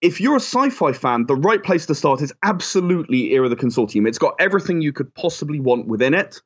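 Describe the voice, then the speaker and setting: A man's voice: a little high, very animated, fast, slightly rough. A man giving chatty, enthusiastic, emphatic answers as a guest in a conversational interview. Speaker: interview guest, game creator. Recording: dull, lo-fi audio.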